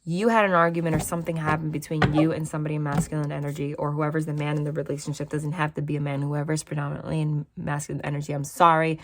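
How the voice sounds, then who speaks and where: A woman tarot reader from New Jersey, chatting casually and frankly to her viewers from a desk at her parents' house, with a natural vocal fry and a slightly raspy voice. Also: low voice, flat delivery, fast talker, hardly any pauses, background noise. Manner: chatty and rambling, frank asides.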